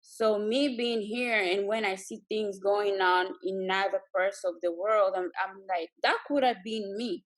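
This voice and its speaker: A woman's voice: mid-range voice, a little slow, few pauses, low-quality recording. A woman from Congo chatting earnestly and from the heart as a guest on a video call. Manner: earnest, heartfelt, with frequent fillers. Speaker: guest entrepreneur. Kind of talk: casual video chat.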